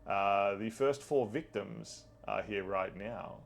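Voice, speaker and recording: A man's voice: takes it slowly, faint music behind. A man hosting a tabletop game as game master, chatting casually with a playfully theatrical manner. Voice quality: deep, gravelly.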